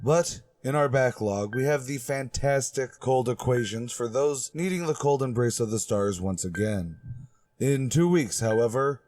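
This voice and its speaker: A man's voice: medium pitch, unhurried, darkish tone, slight background noise. A man hosting as announcer, reading scripted radio show narration with old-time broadcast phrasing. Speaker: announcer and host.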